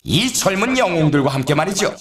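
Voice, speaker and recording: An excitable male sports announcer for a snowboarding video game. His pitch leaps around with great animation as he draws out names with showy drama. The voice rings with a roomy echo. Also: fairly quick, crowd noise. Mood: excited, dramatic. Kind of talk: scripted sports commentary, event introductions.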